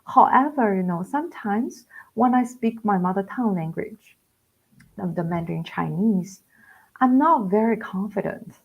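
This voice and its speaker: A woman's voice: medium pitch, lively intonation, moderate pace, vocal fry, husky, phone-quality sound. A woman education researcher speaking as a guest in a live online interview, explaining her work with many fillers and restarts.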